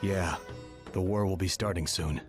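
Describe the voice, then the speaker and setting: An actor playing a sober, thoughtful ninja in a conversational video game dub. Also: male voice, low voice, moderate pace, close to an everyday voice. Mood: serious. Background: game music.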